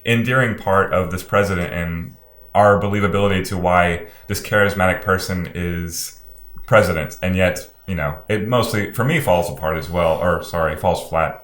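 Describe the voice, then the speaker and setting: A fairly low male voice in a clearly echoey room. He discusses films casually as a podcast co-host. He is analytical and repeats points for emphasis. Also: moderate pace, fairly bright tone. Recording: roomy, echoing indoor sound.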